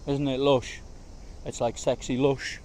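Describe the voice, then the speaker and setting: A man vlogging as he walks through gardens in the heat, his voice slightly hoarse, talking in a chatty, slangy, easygoing way. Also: medium pitch, flat delivery, a little slow, darkish tone, a little hiss or hum. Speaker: travel vlogger. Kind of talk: casual walking vlog narration. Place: outdoors in large city gardens, walking in heat.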